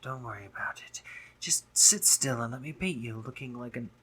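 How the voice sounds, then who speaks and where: A man playing a doting, teasing gentlemanly spider-monster painter in a romantic roleplay audio drama, with genteel phrasing, hesitant pauses and drops into whispers. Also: mid-range voice, husky, poor audio. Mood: tender, teasing.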